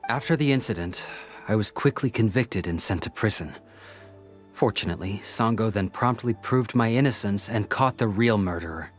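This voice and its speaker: A man playing a patient, friendly former police officer in a video game, gentle and courteous. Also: fairly low pitch, expressive, moderate pace, lightly acted. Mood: resigned, explaining. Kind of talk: video game character acting. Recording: faint music under the voice.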